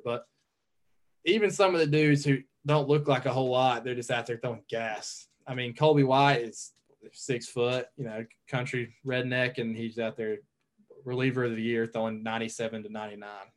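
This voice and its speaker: Laid-back young Southern guy. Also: medium pitch. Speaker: podcast guest, pro pitcher. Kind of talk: casual podcast chat.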